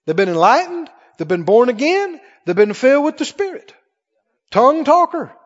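A man's voice: very high voice, big pitch swings, normal speed. A man preaching in an emphatic, questioning way, teaching from the Bible to a congregation. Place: large church hall, before a congregation. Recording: muffled, echoing large hall.

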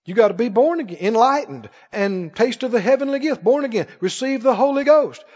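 Male preacher, high-pitched voice, emphatic Bible teacher firing short questions at the congregation; long church reverb. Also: expressive, brisk, a little mellow. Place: large church hall, before a congregation. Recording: muffled, echoing large hall.

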